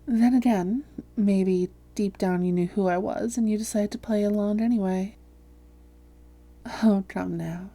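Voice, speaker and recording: A woman playing a seductive, smug vampire who poses as a hypnotherapist, speaking softly and slowly, often whispering, in an ASMR roleplay. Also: mid-range voice, close to an everyday voice. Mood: gloating, teasing. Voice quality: soft, breathy, often whispered. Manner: slow, coaxing, deliberate.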